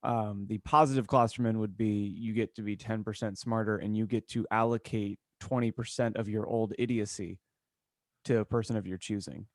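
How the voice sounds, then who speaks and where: A man's voice: on the low side, fairly even intonation, moderate pace, dry recording. Teasing man hosting, dry close sound. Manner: teasing, steering the discussion. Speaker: podcast host. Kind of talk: casual comedic panel chat.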